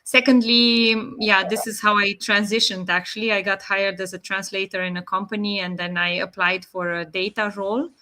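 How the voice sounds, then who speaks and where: A woman in her thirties with a Romanian accent, answering interview questions in a measured way with many pauses and fillers. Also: mid-range voice, level tone, pushed and bright. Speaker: guest. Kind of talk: interview answers, online live session.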